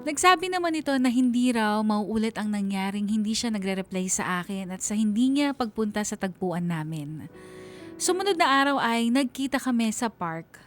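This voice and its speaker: A woman's voice: medium pitch, animated, normal speed, faint music behind, close to an everyday voice. An actress playing a melancholy young woman who narrates her own story in a radio drama, wistful and confiding. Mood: resigned.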